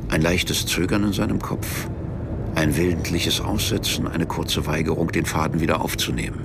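An actor narrating a literary radio play in a measured, matter-of-fact, sombre way. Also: male voice, a bass voice, steady tone, gravelly, heavy background noise. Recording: music behind the voice. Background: music.

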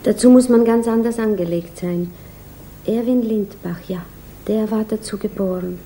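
An actress playing a reserved, wistful small-town aunt in a 1960s German radio play, restrained in manner. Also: female voice, mid-range voice, normal speed, warm tone, lightly acted, rough recording. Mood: wistful, musing.

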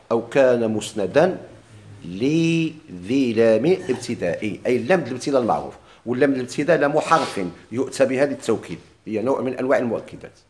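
A man teaching Arabic grammar in a Moroccan accent. He quizzes his students, repeats their answers back to them, and drags out the quoted examples slowly. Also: mid-range voice. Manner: drawls quoted examples slowly, quick plain explanations. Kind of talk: classroom lesson, questioning students.

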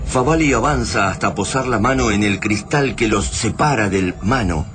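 A man's voice: lowish voice, normal speed. A man reading scripted dramatic narration for a radio drama. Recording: noisy ambience behind.

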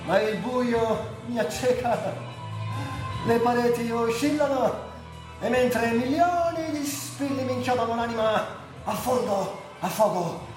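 A man's voice: extremely high pitch, measured pace. An actor playing a tormented, delirious male addict in a histrionic theatrical radio monologue that swings between brooding and cries. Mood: haunted. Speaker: actor and director. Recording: very echoey, distant, faint music behind.

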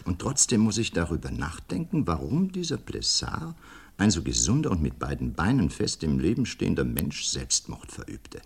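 Sly doctor, deep voice, lively swooping delivery, probing, ironic, radio crime drama. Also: male voice, moderate pace, gravelly, breathy edge, heavily acted. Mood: musing, sly. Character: sly, needling, inquisitive doctor who plays sleuth.

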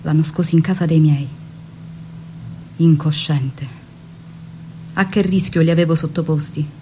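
An actress playing an earnest, ardent woman guerrilla recalling her youth in a measured stage monologue. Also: sits low, steady tone, mellow, slight background noise. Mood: self-reproachful.

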